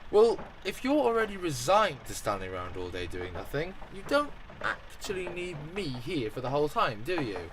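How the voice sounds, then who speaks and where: A man performs an ordinary man in a radio play, courteous yet thoroughly exasperated and baffled. His pitch moves around a great deal, and there is clear background noise. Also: big pitch swings, normal speed, few pauses, fairly bright tone, low-quality recording. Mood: polite, persuasive. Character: exasperated, polite, bewildered ordinary Englishman. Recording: background noise, music and ambience behind.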